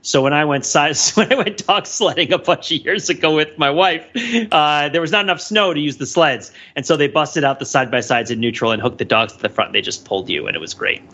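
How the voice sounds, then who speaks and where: A man's voice: on the high side, fairly quick, few pauses, sharp tone, muffled recording. A man co-hosting a podcast, chatting casually with playful, emphatic storytelling. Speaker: podcast co-host. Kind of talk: casual chat, telling anecdotes.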